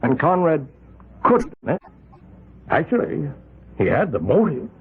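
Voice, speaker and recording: An actor playing an agitated, wealthy older vigilante leader in an old-time radio drama, an American man with a halting, emphatic, self-justifying delivery. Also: a little high, sing-song, slight background noise. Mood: uneasy, pleading. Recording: muffled, narrow-band old radio recording.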